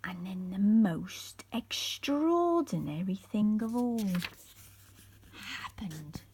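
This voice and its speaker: A woman reading a children's bedtime story aloud as an expressive storyteller. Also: medium pitch, very slow, slight background noise.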